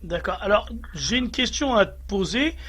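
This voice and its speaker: High-voiced male radio interviewer. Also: lively intonation, fairly bright tone. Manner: informal, halting questions.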